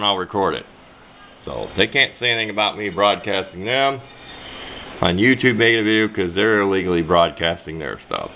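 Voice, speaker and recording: A man giving casual hands-on commentary at a workbench, talking in a folksy, rambling way. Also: fairly low pitch. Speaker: hobbyist radio video maker. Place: indoor workbench. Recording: tinny, band-limited camera mic with noise.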